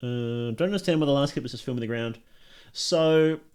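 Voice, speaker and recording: A man's voice: mid-range voice, a little fast, darkish tone, clear, resonant tone. Man casually commentating on a livestream. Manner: informal, thinking aloud, mildly sweary. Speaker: livestream host reacting to and judging short films.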